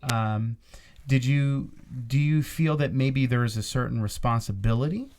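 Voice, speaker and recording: Podcast co-host, man, mid-pitched, rambling restart-heavy questions. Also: normal speed, darkish tone. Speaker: podcast co-host and fight commentator. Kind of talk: casual interview.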